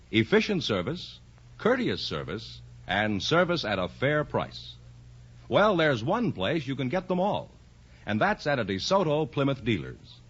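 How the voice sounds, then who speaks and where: A man's voice: medium pitch, husky. A man reading a scripted 1950s radio commercial as an announcer, with an emphatic salesman's delivery. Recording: dull vintage radio recording.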